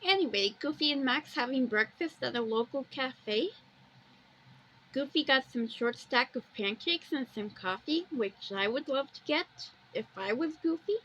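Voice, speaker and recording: A woman's voice: sits high, animated, moderate pace, fairly bright tone, slight background noise, low-quality recording. A woman giving a casual amateur video walkthrough, plainly describing pictures and wandering off into personal asides.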